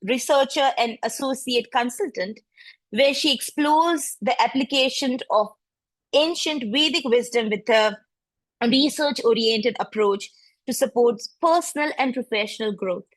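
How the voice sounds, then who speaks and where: A woman hosts a podcast over a video call, her voice high and her sound dry and close. She reads the introduction first, then turns effusive and openly admits she is nervous. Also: a little slow, no room sound, low-quality recording. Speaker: podcast host. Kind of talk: reading an introduction, then chatting.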